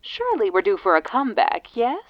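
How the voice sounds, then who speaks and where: A woman's voice: on the high side, huge pitch swings, brisk, no echo, pitched above the performer's own voice. A woman voicing a theatrical, genteel poet who speaks in rhyme to an audience in a scripted audio drama. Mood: wistful, hopeful. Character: theatrical rhyming poet bidding listeners a fond farewell. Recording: muffled lo-fi tape sound.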